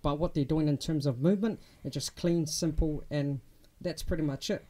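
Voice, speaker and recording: A young man with a New Zealand accent, chatting as a guest in a casual interview, relaxed and full of ums and restarts. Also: on the high side, normal speed.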